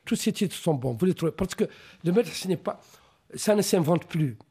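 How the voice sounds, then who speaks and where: A Mauritanian novelist, whose first language is Arabic, answers interview questions in a thoughtful way, often pausing and restarting his sentences. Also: high voice, animated, mellow, breathy edge. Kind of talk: reflective radio interview answers.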